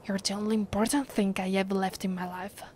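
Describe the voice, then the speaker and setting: A woman with an Italian accent playing a commanding, vengeful vampire in an ASMR roleplay, her voice soft and breathy, often sinking to a whisper. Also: medium pitch, little pitch movement, a little hiss or hum, lightly acted. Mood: alarmed, urgent. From Italy. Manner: slow, deliberate, imperious. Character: commanding, vengeful female vampire, secretly affectionate. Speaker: ASMR voice actress.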